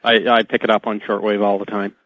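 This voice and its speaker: A man's voice: lowish voice, little pitch movement, quick pace, no echo. A man answering questions as a technical guest in a radio interview. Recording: dull dry radio line.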